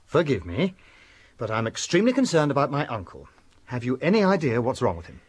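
An actor playing an earnest, worried young gentleman with an English accent in a radio drama, well-spoken and impulsive. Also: mid-range voice, wildly swooping pitch, a little fast, slight background noise. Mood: impatient.

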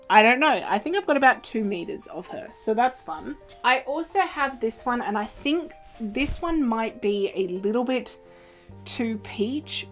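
A young woman chatting casually in an upbeat show-and-tell way, filled with little fillers and playful pitch jumps, recorded in a small sewing room. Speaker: cosplay vlogger. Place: small indoor sewing room with fabric shelves. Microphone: camera in front at desk distance.

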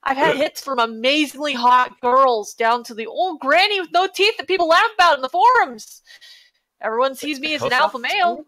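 A woman's voice: sits high, animated, normal speed, bright, forceful tone, no echo, low-quality recording. A woman reading reviews aloud on a podcast in a comedic, dramatic style. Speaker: podcast reader.

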